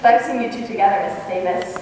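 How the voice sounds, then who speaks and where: Wry schoolmarm acted by a woman for a vintage radio sitcom, deadpan wisecracks, captured far from the mic with faint noise. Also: medium pitch, rapid-fire, natural voice. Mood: wry, teasing. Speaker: lead actor in a stage radio play. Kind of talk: 1950s radio comedy acting. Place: live stage before a studio audience. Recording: echoey hall, distant mic, faint noise.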